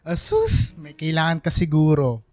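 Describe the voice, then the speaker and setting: A man's voice: fairly high pitch, huge pitch swings, a little slow, darkish tone, no echo, soft background music. A man playing a doting, teasing middle-aged father in a Filipino radio drama, affectionate and full of exclamations. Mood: fond teasing.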